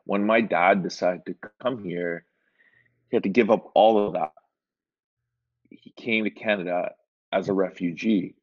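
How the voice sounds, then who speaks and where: A man from Iran, a guest in a casual interview, telling his story in a relaxed, rambling way with lots of filler words. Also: low voice, a little slow, long pauses. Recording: dull lo-fi sound.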